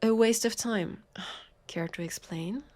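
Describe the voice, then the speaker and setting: A woman with a French accent playing a weary, sardonic vampire police officer in an audio roleplay, halting and exasperated. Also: fairly high pitch, darkish tone. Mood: weary, wry. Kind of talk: scripted audio roleplay acting.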